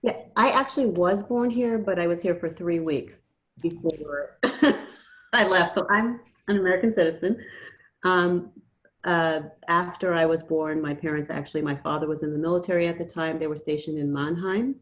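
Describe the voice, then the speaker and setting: A woman speaking as an interview guest, thinking aloud hesitantly with many "um" pauses. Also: on the low side. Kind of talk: conversational interview.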